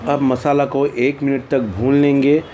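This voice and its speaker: A man's voice: medium pitch, level tone, a little slow, dark tone, slight background noise. A man with an Indian accent narrates a recipe tutorial as a cooking host, in a plain, instructional way with repeated phrasing.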